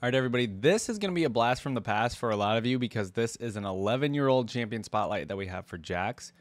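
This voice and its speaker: A man's voice: mid-range voice, expressive, fast, dry recording, clean studio sound. A man giving casual, conversational reaction commentary as a video host, thinking aloud as he goes.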